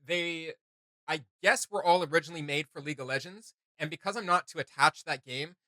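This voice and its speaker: A man co-hosting a casual reaction video, talking in an animated, rambling way and searching for words aloud. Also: a little high, brisk, dry recording. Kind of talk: casual rambling discussion to viewers.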